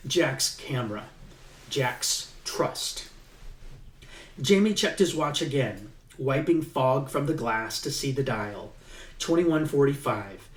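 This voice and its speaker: A man reads his own novel aloud at a slow, deliberate pace. His voice sits mid-range, heard in a reverberant room from a mic set some way off. He pauses with fillers when chatting and puts more emphasis into the tense passages. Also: medium pitch. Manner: unhurried, with halting fillers in the chat and livelier emphasis in the reading. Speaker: author. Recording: echoey room, mic at a distance.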